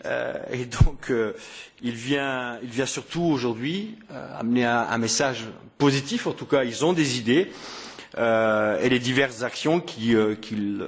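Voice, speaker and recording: A man hosting a press conference, speaking in a halting way with many fillers and repeated words. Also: mid-range voice. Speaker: press conference host, member of parliament. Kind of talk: hesitant spoken welcome speech. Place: press room.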